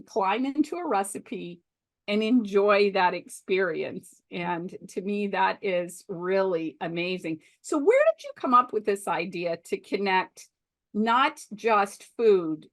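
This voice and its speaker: A middle-aged woman hosting an interview show with upbeat, friendly introductions. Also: medium pitch. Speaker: host.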